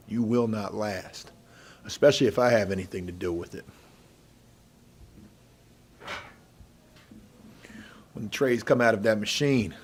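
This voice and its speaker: A man playing a middle-aged veteran inmate who bosses the prison kitchen, in an ASMR role play with a gravelly, husky voice and a folksy, intimidating manner. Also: lowish voice. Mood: menacing. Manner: folksy, intimidating, drawn-out menacing delivery. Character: gruff, bossy veteran male prison inmate running the kitchen.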